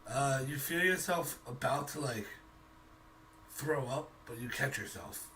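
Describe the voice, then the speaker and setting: A man acting as game master, improvising tabletop narration in a halting, casual way. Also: medium pitch, sharp tone. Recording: distant mic with faint noise.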